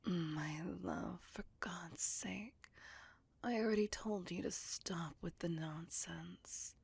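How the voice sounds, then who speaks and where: A woman's voice: on the low side, slow, a little mellow, no room sound, heavily acted. A woman voicing a possessive, seductive vampire in a whispered ASMR roleplay, doting on her lover with intimate, coaxing control. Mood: doting, teasing. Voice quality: breathy whisper with husky, creaky edge. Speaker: voice actor.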